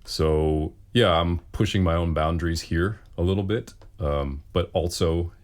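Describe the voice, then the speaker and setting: A man speaks in a low, deep bass voice. He talks casually as a singer fielding questions, with a wry and self-deprecating sense of humor. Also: measured pace. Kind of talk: casual Q and A talk.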